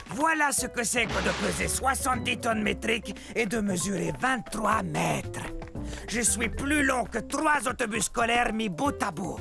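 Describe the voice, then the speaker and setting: An actor voicing a huge, gentle, hapless dinosaur who confides sheepishly in viewers of a children's educational cartoon. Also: medium pitch, gravelly, exaggerated voice. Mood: resigned. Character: huge, gentle, hapless long-necked Argentinosaurus, apologetic and hungry.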